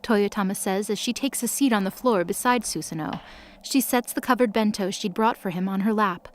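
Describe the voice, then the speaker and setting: Woman narrator with mid-pitched voice. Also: brisk, slight background noise. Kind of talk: scripted dramatic story narration.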